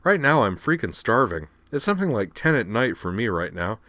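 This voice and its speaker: A man's voice: on the low side, sing-song, fairly quick, pushed and bright, dry recording, studio quality, pitched above the performer's own voice. A man voicing a smug, brash, teasing young woman with sarcastic, slangy talk in a story. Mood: breezy, jaunty.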